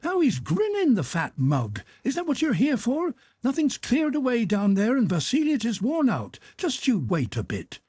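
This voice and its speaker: A man putting on a husky, shrill old housekeeper woman. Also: very animated, measured pace, no echo, exaggerated voice. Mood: scolding. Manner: sharp and scolding, then cordial. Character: old woman housekeeper, bustling, scolding yet kind.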